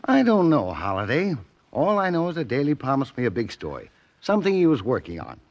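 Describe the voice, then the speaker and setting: A male newspaper editor in old-time radio drama style, with a lively, swooping delivery. He talks in clipped, colloquial phrases, reluctant yet obliging, on a muffled vintage recording. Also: mid-range voice, a little fast. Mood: matter-of-fact. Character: male newspaper editor, plain-spoken, obliging but hesitant. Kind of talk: 1940s radio drama acting.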